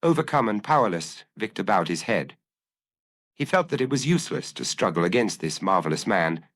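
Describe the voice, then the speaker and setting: A male audiobook narrator with a fairly low voice. He reads a classic detective tale with animated, expressive intonation. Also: fairly quick.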